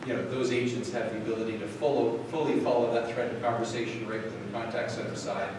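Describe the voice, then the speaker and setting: A man's voice: medium pitch, fairly even intonation, fast. Guy rambling thru a live tech demo, lots of ums, far off mic, noisy room. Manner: rambling, lots of fillers and restarts. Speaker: product demo presenter. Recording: echoey hall from far off, noisy.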